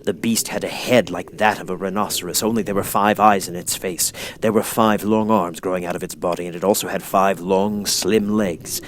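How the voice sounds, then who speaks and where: Man, fairly low voice, audiobook narrator, scripted children's story reading. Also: fairly even intonation, normal speed, hardly any pauses, a little hiss or hum.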